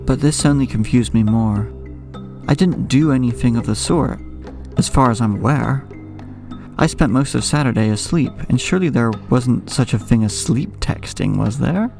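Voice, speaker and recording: A gravelly, creaking young male storyteller played by an actor with clear music underneath. He is haunted and anxious, narrating a horror audio drama in measured, brooding, ornate sentences. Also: mid-range voice, a little mellow, close to an everyday voice. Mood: uneasy, reflective. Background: clear background music.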